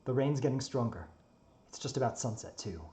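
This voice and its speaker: A man's voice: mid-range voice, animated, a little fast, mellow, close to an everyday voice. A man voicing a proud, grumpy non-human creature in a dramatic story reading, haughty and brooding. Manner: brooding, haughty, muttering monologue.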